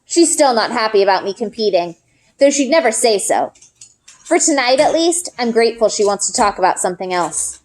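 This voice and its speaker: Animated, high-voiced woman reading aloud. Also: brisk, low-quality recording. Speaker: read-aloud narrator. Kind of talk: expressive children's novel read-aloud, first-person narration.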